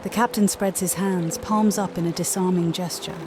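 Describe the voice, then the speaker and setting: A woman's voice: lowish voice, steady tone, normal speed. Woman narrating a game story over music. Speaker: narrator and player of a game playthrough. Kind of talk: scripted story narration with voiced player lines.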